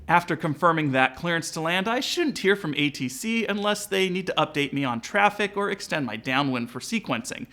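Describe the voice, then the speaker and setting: Higher-voiced man explaining things. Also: expressive, brisk, few pauses, bright, forceful tone. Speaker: pilot and flight instructor presenter. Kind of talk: instructional narration.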